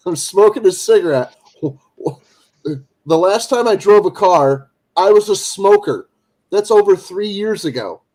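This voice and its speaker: A man in his forties, easygoing and quick to laugh, chatting in casual banter on a live stream. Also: high voice, animated, a little mellow, low-quality recording. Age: 40s. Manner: laughs often, easygoing, says dude. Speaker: panel regular.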